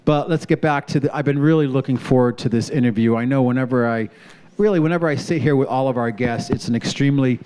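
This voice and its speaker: A man's voice: medium pitch, fast talker, a little mellow, smooth. Upbeat man hosting a talk show. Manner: upbeat, chatty, introduces guests and songs. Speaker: host.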